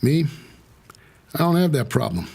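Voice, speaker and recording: An actor playing a world-weary, streetwise New York cab driver, narrating a noir audio drama in a wry, hard-boiled way with a gravelly, husky voice. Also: male voice, on the high side, very animated, unhurried, warm tone, exaggerated voice. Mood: weary, resigned.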